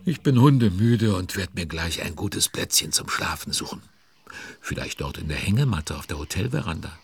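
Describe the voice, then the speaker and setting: A man's voice: low-pitched, expressive, normal speed, slightly rough, heavily acted. A man playing a droll, timid, sleepy storyteller in a children's audio drama. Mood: weary. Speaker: narrator.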